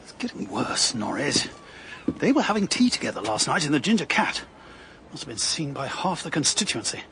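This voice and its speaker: Fretful, cynical party man, very animated. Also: on the high side, fairly quick, a little hiss or hum. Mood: worried, exasperated. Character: worried, cynical male party organiser, gossipy and exasperated. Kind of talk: radio drama acting.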